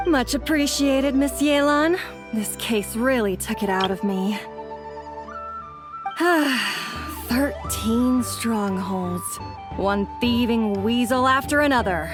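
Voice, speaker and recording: A woman's voice: a little high, slow pace, over music. A woman playing a weary, dutiful officer in a video game, with a wry manner. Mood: weary, relieved.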